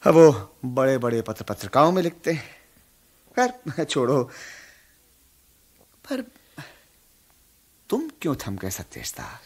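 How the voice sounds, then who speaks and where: Animated, genial male friend. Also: mid-range voice, slow, long pauses, heavily acted. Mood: nostalgic. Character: friendly, hopeful male literary friend, breezy visitor. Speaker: radio drama actor. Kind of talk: Hindi radio play acting.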